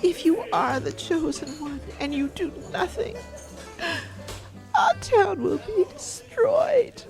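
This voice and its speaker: An actress playing a distraught, superstitious old widow in a radio play, pleading and melodramatic. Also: female voice, very high-pitched, very animated, slow, music in the background, heavily acted. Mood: sorrowful, pleading.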